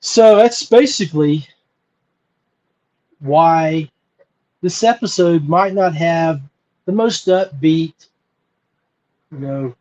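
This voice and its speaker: Slow, earnest American male podcast host. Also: fairly high pitch, a little mellow, poor audio. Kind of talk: informal solo podcast talk.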